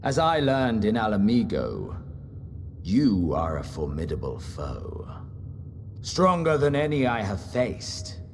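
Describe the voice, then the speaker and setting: An actor playing a battle-hungry, brooding warrior rival in a video game, with grave, theatrical, deliberate delivery and a gravelly voice. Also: male voice, on the low side, huge pitch swings, slight background noise, exaggerated voice. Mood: solemn intensity. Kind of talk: dramatic video game voice acting.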